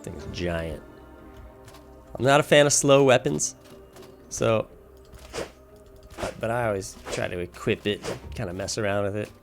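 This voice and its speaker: A man's voice: on the low side, unhurried, vocal fry, long pauses. A man casually talking through a video game as its guide, explaining his choices and thinking aloud as he plays. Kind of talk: casual walkthrough commentary while playing. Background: music.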